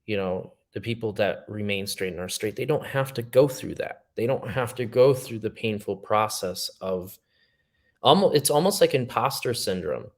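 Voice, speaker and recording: A man's voice: lowish voice, expressive, normal speed. A man speaking as a podcast guest in casual chat, earnest and reflective with an optimistic tone.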